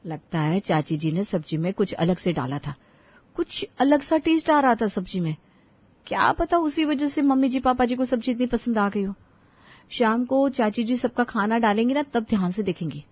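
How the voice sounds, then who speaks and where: Scheming young daughter-in-law for a cartoon family tale, played by a female narrator, high voice, dry recording. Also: lively intonation, normal speed, dry recording, highs cut off. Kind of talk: cartoon story dialogue.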